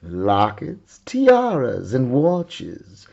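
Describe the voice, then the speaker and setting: Slow, highly animated male storyteller. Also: vocal fry, highs cut off. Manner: dramatic, deliberate, theatrical. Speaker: storyteller, art teacher. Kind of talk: expressive children's story read-aloud.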